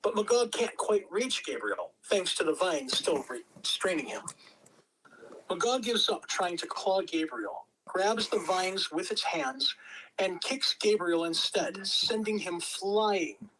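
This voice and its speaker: A man's voice: sits high, slow, fairly bright tone, a little hiss or hum, low-quality recording. A man reading stage directions as narrator, in scripted dramatic narration. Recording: amateur home recording.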